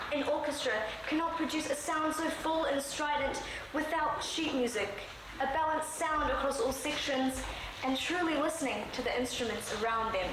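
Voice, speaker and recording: High-voiced young woman reading an earnest speech, measured, lots of room echo and background hiss. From born in Sri Lanka, raised in New Zealand. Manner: measured, earnest delivery with slowed emphatic phrases. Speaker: student speaker. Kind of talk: prepared speech. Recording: very echoey room with background noise.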